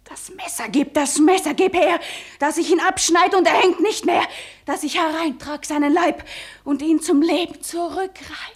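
An actress playing an anxious, impulsive, devoted sister in wartime, speaking intense theatrical verse in a radio drama. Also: female voice, very high-pitched, normal speed. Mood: desperate.

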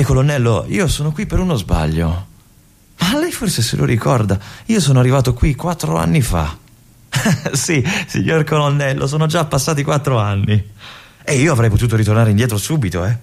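An actor playing a disillusioned young army lieutenant at a remote fortress, speaking to his colonel in a confiding, pleading monologue with weighty pauses. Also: mid-range voice, gravelly, a little mellow, slightly rough, exaggerated voice. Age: young adult. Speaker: stage actor. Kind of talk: theatrical monologue addressed to a colonel.

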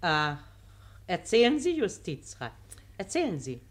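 A woman playing a sharp-witted, mocking society lady in a German radio play, sardonic and teasing. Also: on the low side, huge pitch swings, unhurried, exaggerated voice. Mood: curious, amused.

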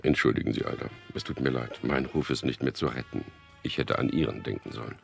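An actor playing an earnest young suitor who narrates a radio drama in an intimate, measured, husky voice. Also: male voice, soft background music, heavily acted. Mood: polite, earnest. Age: young adult. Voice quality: low, gravelly, husky. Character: young propaganda clerk Peter, narrator and tender suitor. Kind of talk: radio drama acting with first-person narration.

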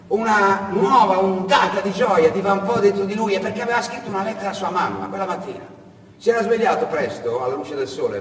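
A man delivering a theatrical narrative monologue as a stage storyteller, dramatic and emphatic, with deliberate pauses. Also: a little high. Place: reverberant indoor performance space. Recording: echoey hall, distant mic, faint noise.

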